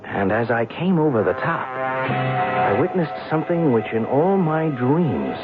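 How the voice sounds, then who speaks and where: A man's voice: medium pitch, very animated, unhurried. An actor playing a blunt, skeptical man who recounts a strange night, in an old-time radio drama style. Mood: hushed recounting. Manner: blunt, incredulous, wisecracking. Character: skeptical, brash, down-to-earth male visitor recounting a strange night. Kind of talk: old-time radio drama acting with first-person narration. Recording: tinny band-limited radio recording, music.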